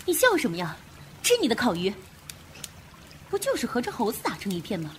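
A woman's voice: on the high side, huge pitch swings, a little fast, background noise. A woman playing a superstitious, jumpy, outspoken grave robber in a wartime audio drama. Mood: brusque. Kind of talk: Chinese audio drama acting.

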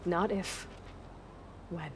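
A woman's voice: fairly low pitch, steady tone, drawn-out words, background noise. An actress playing a determined, earnest young warrior woman in a cinematic video game, intense and resolute. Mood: firm, defiant. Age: young adult.

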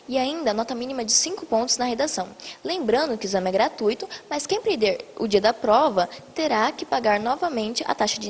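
A young woman reporting for a newscast into a handheld mic, explaining the rules clearly. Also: high-pitched, big-room sound, slight background noise. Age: young adult. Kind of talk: live news report.

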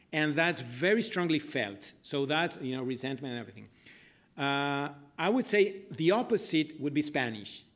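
A middle-aged man talks at a lectern in a lecture room, thinking aloud as he answers questions. He circles back and restarts often, filling pauses with "uh" and "you know". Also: medium pitch. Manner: hesitant, many restarts and fillers. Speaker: speaker at an academic forum. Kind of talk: answering audience questions at a talk.

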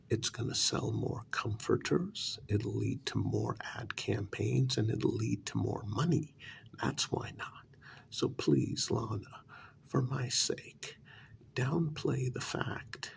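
A soft, breathy talent agent acted out by a man in an ASMR role-play, half whispering with very heavy fry. He coaxes gently and takes his time, pausing between slow phrases. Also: mid-range voice. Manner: gentle, coaxing, deliberate with pauses. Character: short, cajoling male talent agent to monster women.

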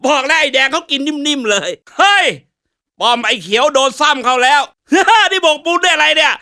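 A man's voice: very high-pitched, expressive, brisk, pushed and bright, full character voice. A man voicing a cocky, trash-talking country tough guy as a comic game announcer, boastful and mocking with slangy swagger. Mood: excited, shouting.

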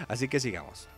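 A man's voice: medium pitch, a bit bright. A man giving informal let's play commentary, reading game dialogue aloud and sometimes correcting himself. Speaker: gaming YouTuber. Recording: game music behind the voice. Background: video game music.

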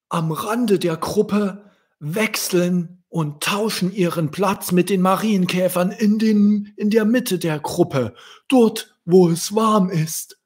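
A man voicing an elderly ladybird grandparent in a children's story, reassuring and deliberate as they explain things. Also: sits high, darkish tone, breathy edge, pitched above the performer's own voice, heavily acted.

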